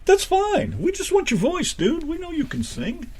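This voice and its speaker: An American man co-hosting a radio show, chatting casually and joking, his voice jumping high and low. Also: wildly swooping pitch, moderate pace. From United States. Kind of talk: casual banter.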